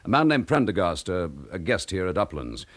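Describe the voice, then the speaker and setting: A stern, expressive British police inspector. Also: male voice, low voice, brisk. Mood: brisk, harried. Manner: clipped, authoritative, commanding. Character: stern, weary, dutiful male chief inspector. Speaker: actor. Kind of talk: British radio drama acting.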